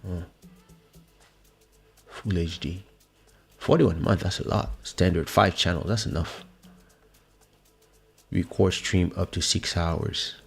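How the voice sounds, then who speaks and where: Male stream host, low gravelly voice, casual and pause-filled, muttering as he thinks aloud. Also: steady tone, soft background music. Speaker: livestream host and musician. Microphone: close podcast microphone.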